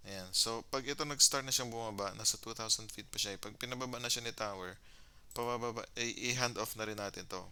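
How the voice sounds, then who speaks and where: Filipino male gamer, low creaky voice, clean and crisp, casual thinking-aloud commentary in mixed Tagalog and English. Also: brisk, few pauses, bright, forceful tone. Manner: mixes Tagalog with English phrases. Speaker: gamer narrating his own gameplay.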